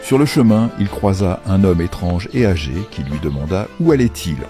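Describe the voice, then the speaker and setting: A man narrating a scripted children's fairy tale in a playful, wry, measured storytelling manner. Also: low-pitched, animated, dark tone, soft background music.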